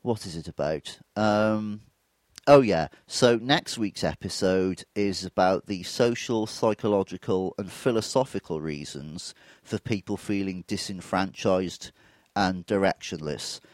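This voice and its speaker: Man, 40s, very low voice, throaty with flu, candid reflective podcast talk, dry close sound. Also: unhurried, dry recording. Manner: reflective, candid, self-deprecating. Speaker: podcast host. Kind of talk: solo podcast monologue.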